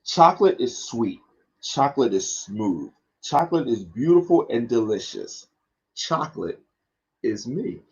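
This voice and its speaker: Male teacher, guest on a read-along stream. Unhurried, husky, lo-fi video-call sound. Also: mid-range voice, big pitch swings, darkish tone. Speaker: guest educator and children's book reader. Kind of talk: storybook read-aloud and informal talk. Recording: dull lo-fi online stream.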